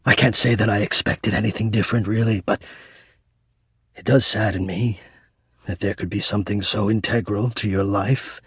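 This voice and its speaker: An actor playing a shy, devoted, lovestruck male air-vent spirit in a romance video game, with breathy, formal, florid and earnest delivery. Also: lowish voice, fairly even intonation, normal speed, a bit bright, dry recording, studio quality. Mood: earnest, hesitant.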